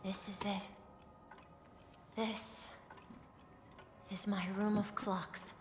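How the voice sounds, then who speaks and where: A woman voicing a gentle, wistful young boy in a video game, speaking softly and earnestly as he reflects on old memories. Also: medium pitch, little pitch movement, a little hiss or hum. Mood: quietly fond. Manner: earnest, slow, reflective storytelling. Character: gentle, wistful young boy recalling his grandfather's tales. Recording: game audio with music behind.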